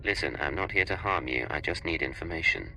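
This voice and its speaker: A man's voice: a bass voice, brisk, bright, forceful tone, a little hiss or hum, exaggerated voice. A man playing a hard, commanding covert agent in a game cutscene, curt and authoritative. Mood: guarded, controlled.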